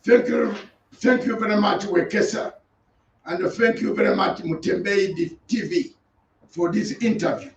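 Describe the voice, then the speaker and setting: Older guest, high-pitched and deliberate, miked from far off in a roomy, dull space. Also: male voice, fairly even intonation, creaky, a little hiss or hum. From Uganda. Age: 60s. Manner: deliberate, list-like recounting with repeated phrases. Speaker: interview guest. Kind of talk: recounting his life story. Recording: distant, roomy and muffled.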